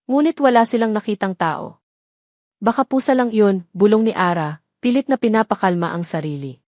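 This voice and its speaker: A woman's voice: mid-range voice, a little mellow, no room sound, clean studio sound. A woman reading a scripted story as a narrator, in a dramatic style.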